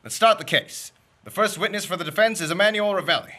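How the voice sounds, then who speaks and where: A young man playing a greedy, fast-talking male shyster lawyer who makes grand courtroom speeches full of wisecracks, in a comic radio play. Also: high-pitched, pushed and bright. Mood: wisecracking. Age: young adult.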